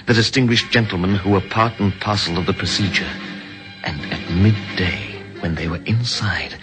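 An actor playing an urgent, exasperated man trying to stop a bomb plot, switching between brisk dialogue and grave first-person narration, in a 1950s radio drama. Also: low-pitched. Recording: hissy old radio broadcast.

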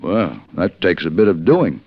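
An actor playing a suave, wry gentleman gunfighter with a measured, articulate delivery in an old radio western. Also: low voice, very animated, dry recording, full character voice. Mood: dry, amused. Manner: measured, dryly amused, articulate. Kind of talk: 1950s radio western acting with narration. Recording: muffled old radio recording.

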